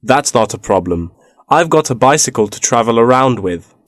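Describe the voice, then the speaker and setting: A man's voice: mid-range voice, lively intonation, normal speed, clean studio sound, lightly acted. Man playing easygoing hopeful guy looking for work, scripted chat, mid voice, no echo. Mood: agreeable, hopeful. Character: agreeable male job seeker, casual and hopeful. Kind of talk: scripted listening-test dialogue.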